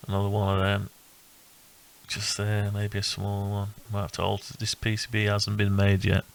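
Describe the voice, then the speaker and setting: Male hobbyist presenter: low, fry-heavy voice, very even intonation, casual halting walkthrough. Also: low-quality recording. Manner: thinking aloud, halting and rambling. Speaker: electronics hobbyist presenting own build. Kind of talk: casual unscripted project walkthrough.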